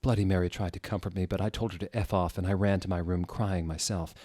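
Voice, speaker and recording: A man's voice: low-pitched, fairly even intonation, quick pace, no echo, studio quality. A man doing a mock-dramatic, amused comedic reading of a story. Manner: theatrical, amused, swings into loud exclamations. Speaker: reader of fan fiction.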